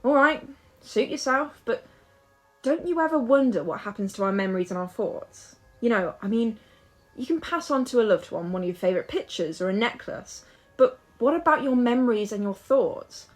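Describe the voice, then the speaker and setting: A woman's voice: fairly high pitch, animated, moderate pace, faint music behind, natural voice. A woman acting in an audio drama as a restless, hopeful young woman who chats in a musing, playful way. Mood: musing, curious.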